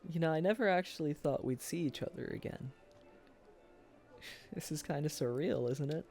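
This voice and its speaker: A man playing a tipsy, earnest young man wooing his old sweetheart in a boyfriend roleplay, speaking casually and haltingly. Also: on the high side, vocal fry, darkish tone. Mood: amused, relaxed. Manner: halting, tender, casual, often chuckling. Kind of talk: boyfriend ASMR roleplay acting.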